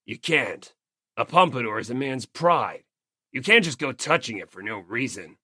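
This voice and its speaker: A performer voicing a brash, gruff teenage male biker gang leader in an audiobook, blunt, proud and slangy. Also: mid-range voice, lively intonation, moderate pace, pushed and bright, dry recording, studio quality. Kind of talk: audiobook character acting.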